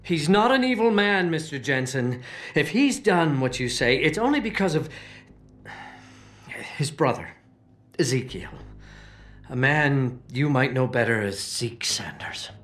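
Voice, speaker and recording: An actor playing a grieving, self-righteous leader of a political movement in a video game, with measured, formal, oratorical speech. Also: male voice, mid-range voice, very animated, a bit bright. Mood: pleading. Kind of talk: dramatic video game acting.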